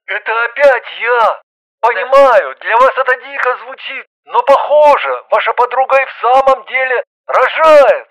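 A man's voice: high voice, expressive, measured pace, vocal fry, pushed and bright, dry recording, full character voice. A man playing a timid, flustered, pleading stranger calling on the phone in an audiobook, heard through a telephone filter. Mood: pleading panic. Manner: timid, stammering, bewildered, pleading. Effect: telephone or radio filter. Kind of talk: audiobook character acting. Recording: thin band-limited phone-line sound.

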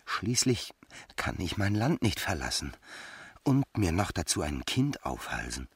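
A man's voice: sits low, sing-song, a little slow, breathy edge, no echo, full character voice. A man playing a self-centred, callous bachelor lover in a radio drama, with theatrical, self-justifying delivery. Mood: exasperated self-pity.

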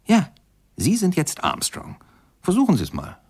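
A man's voice: sits high, huge pitch swings, moderate pace, a little mellow, heavily acted. A man acting in a German radio play as a musing biographer-narrator who conversationally questions and prompts his listener. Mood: coaxing. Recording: music clearly behind the voice.

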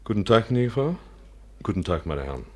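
Dignified, husky Eastern prince. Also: male voice, fairly low pitch, big pitch swings, mellow. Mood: courteous, serene. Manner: formal, solemn, measured. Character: dignified fatalistic Eastern prince, courteous and unworried. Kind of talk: 1950s German radio play acting.

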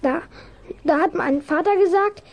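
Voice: extremely high pitch, a little slow, highs cut off. A performer in a German radio play voicing a small, tearful, frightened boy who stammers and pleads. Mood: tearful, stammering.

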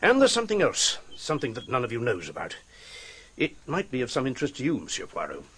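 A man playing a gruff, sceptical British police captain in a radio drama, brusque and matter-of-fact, with a British accent. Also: mid-range voice, wildly swooping pitch, fast, fairly bright tone, slight background noise. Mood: businesslike. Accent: British English.

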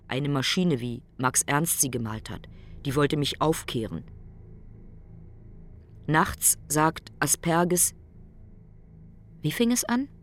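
An actor playing a brooding, philosophical ailing man in a radio play monologue, speaking in deliberate, emphatic short phrases. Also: mid-range voice. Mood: haunted, reflective.

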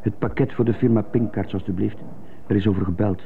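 An actor playing a nervous office clerk who stutters and speaks with effort in a radio drama. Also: male voice, fairly low pitch, steady tone, brisk, creaky. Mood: careful. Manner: hesitant, effortful speech. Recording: muffled, noisy old radio drama recording.

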